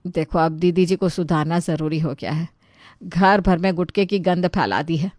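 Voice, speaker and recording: A woman's voice: lowish voice, steady tone, moderate pace, a little mellow, no echo, studio quality, natural voice. A woman voicing an exasperated housewife in a storybook tale, firm and reproachful. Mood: determined. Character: exasperated, determined housewife and sister-in-law.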